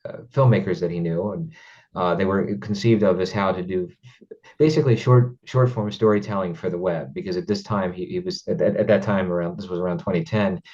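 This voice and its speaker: A man hosting an interview, speaking casually and haltingly, often repeating words as he thinks aloud. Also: low-pitched, darkish tone, dull audio. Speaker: interview host.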